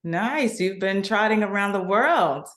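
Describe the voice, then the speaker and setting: A woman's voice: mid-range voice, expressive, unhurried, fairly bright tone. A woman magazine editor hosting an interview, upbeat and encouraging. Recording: echoey room.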